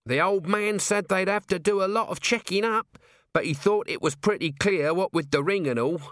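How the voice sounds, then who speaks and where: A man's voice: sits high, moderate pace, fairly bright tone, no echo, studio quality, voice pushed up. A man voicing a shabby, self-pitying corporal of the watch in an audiobook, moaning glumly. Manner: moaning, glum, plaintive. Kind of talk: audiobook character acting.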